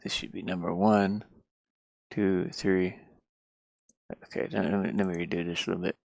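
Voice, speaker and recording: A game developer chatting casually and haltingly while working at a computer, murmuring half to themselves. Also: low-pitched, level tone, creaky, darkish tone, no echo. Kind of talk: casual screen-share chat while working. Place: inside a van.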